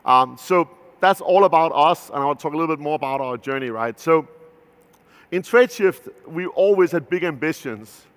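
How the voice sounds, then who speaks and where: A man's voice: a little high, expressive, normal speed, a bit bright. Danish man giving a loose keynote talk. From Denmark. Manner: loose and conversational, many filler "uh"s and restarts. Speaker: company founder giving a keynote. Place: large conference stage. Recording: long hall reverb from a big venue.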